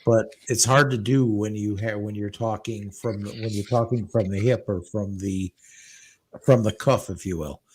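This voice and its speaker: A man's voice: on the low side, steady tone, moderate pace, a little hiss or hum. A middle-aged man co-hosting a casual radio show, rambling and self-deprecating with many restarts. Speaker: radio station owner and co-host.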